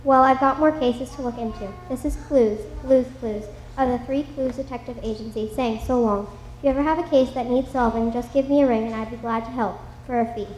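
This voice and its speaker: A woman's voice: fairly high pitch, steady tone, brisk, a little mellow, echoey room, lightly acted, rough recording. A woman voicing a chatty detective in a children's story, earnestly narrating a moral lesson.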